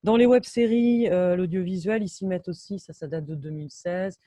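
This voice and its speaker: A woman's voice: fairly low pitch, fairly quick, mellow, no room sound, clean studio sound. A woman sound engineer giving an enthusiastic, casual technical talk over a video call, often hesitating and restarting her sentences. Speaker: binaural sound engineer, guest speaker. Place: home, in Brittany.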